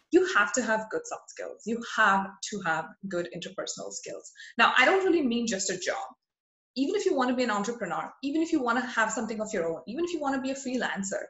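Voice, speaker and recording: A woman's voice: on the high side, a bit bright, echoey room, low-quality recording. A woman in her thirties from India with an Indian accent, giving an earnest, motivational webinar talk over slides. Her first languages are Hindi, Marwari and Bengali. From India (rural West Bengal). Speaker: webinar presenter.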